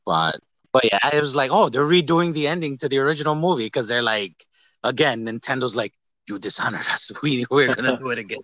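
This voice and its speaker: A man's voice: mid-range voice, a little fast, pushed and bright, no room sound. A man co-hosting a podcast, talking casually about films in a rambling way and acting out quoted lines. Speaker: podcast co-host. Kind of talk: casual movie discussion.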